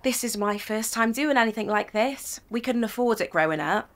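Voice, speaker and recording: A young woman in a period audio drama, chatty and teasing, a little gravelly at the edges. She is bold and lively in every line. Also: on the high side, moderate pace. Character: young working-class woman emigrating, teasing. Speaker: photography client.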